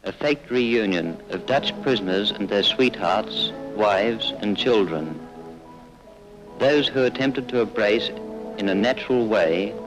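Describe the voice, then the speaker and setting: Grave low-voiced man narrating over music. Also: rough recording. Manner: grave, emphatic, measured phrasing. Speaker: film narrator. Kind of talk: 1940s documentary narration, scripted. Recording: old film soundtrack.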